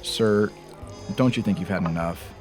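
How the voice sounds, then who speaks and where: A polite, nervous male bartender in an audio drama. His lowish voice is hesitant and apologetic, with faint music behind. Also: a little slow. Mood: firm but polite.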